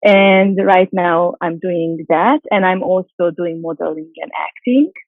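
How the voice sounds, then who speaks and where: A woman's voice: lowish voice, a little slow, no room sound. A Hungarian-native woman, a model and actress, answering interview questions in a chatty way with lots of fillers and restarts. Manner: chatty, many fillers and restarts, light laughs. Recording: dry tinny phone-like call audio.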